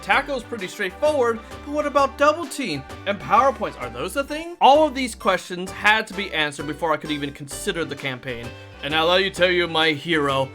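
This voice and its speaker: High, bright-voiced man telling gaming stories. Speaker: video host and game master. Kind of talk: animated scripted storytelling of a tabletop campaign.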